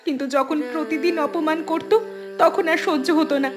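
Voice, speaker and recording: A woman's voice: high-pitched, fairly even intonation, a little fast, lightly acted. A woman playing a young wife and mother in a cartoon melodrama, anxious, hurt and pleading. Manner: emotional, pleading.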